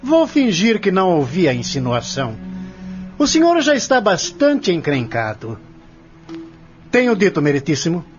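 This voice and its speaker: Man acting a high-voiced, accusing lawyer. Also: wildly swooping pitch, moderate pace, soft background music. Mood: controlled, dismissive. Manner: formal, pointed, accusatory. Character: stern accusing male lawyer cross-examining a defendant. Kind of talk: audio drama acting. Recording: dull lo-fi audio.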